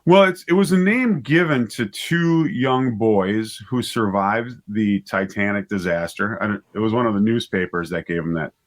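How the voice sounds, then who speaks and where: A man being interviewed as a writer and director, explaining things casually and haltingly, often rephrasing himself as he goes. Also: lowish voice. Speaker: interview guest, writer and director of audio dramas.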